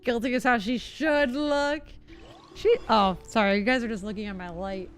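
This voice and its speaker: A woman's voice: a little high, steady tone, moderate pace. A woman giving casual, teasing live commentary as she streams a game. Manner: chatty, teasing, giggly. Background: faint game music.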